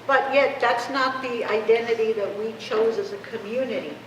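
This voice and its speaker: A woman's voice: on the high side, steady tone, normal speed, a bit bright, distant mic, highs cut off. Woman in her 50s, spanish speaker, giving a passionate chatty speech, echoey room w noise behind. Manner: conversational, seeking agreement with rhetorical questions. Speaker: activist speaker. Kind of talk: impassioned public talk.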